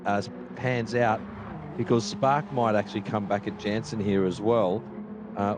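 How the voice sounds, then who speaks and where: South African male co-commentator, chatty and analytical, noisy background, mid pitch. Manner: chatty, analytical, stumbles into restarts. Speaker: sim racing co-commentator. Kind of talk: live race commentary. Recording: steady background noise.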